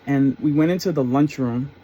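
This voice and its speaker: Middle aged guy just reminiscing, mellow voice, kinda rambly and chatty. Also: medium pitch, moderate pace, husky, dull audio. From New York area, US. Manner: rambling, conversational, reflective. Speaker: storyteller, former concert promoter. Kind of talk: casual personal storytelling.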